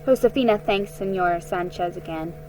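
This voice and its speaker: A woman giving a dramatic, expressive reading of a children's story, with a slightly halting delivery. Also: fairly low pitch, low-quality recording. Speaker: amateur story reader. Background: music under the reading.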